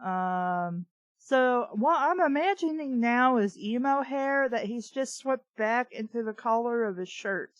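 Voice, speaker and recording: A woman's voice: a little high, lively intonation, a little slow, no echo, highs cut off. A woman reading fiction aloud and breaking in with sarcastic, irreverent, exasperated commentary. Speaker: book review host.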